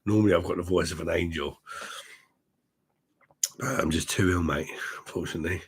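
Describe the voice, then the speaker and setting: A man hosting a live stream, chatting with his viewers in a rambling, crude way full of swearing, his voice hoarse because he is ill. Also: sits low, fairly quick. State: hoarse, unwell. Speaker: livestream host reading chat. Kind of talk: casual crude drinking chat.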